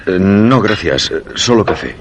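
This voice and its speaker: An actor playing a dry, demanding listener in a radio drama, speaking husky, measured Castilian Spanish. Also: male voice, sits low, lively intonation, background noise. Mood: dry, weary. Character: dry, demanding male listener with sour stomach. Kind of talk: radio theatre dialogue.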